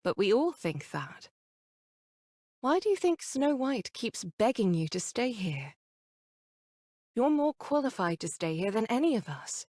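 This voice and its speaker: Composed squad leader, dry studio sound. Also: female voice, fairly high pitch, very animated, no echo. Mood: gentle, earnest. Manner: measured, gently reasoning. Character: composed, caring young woman squad leader. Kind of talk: video game voice acting.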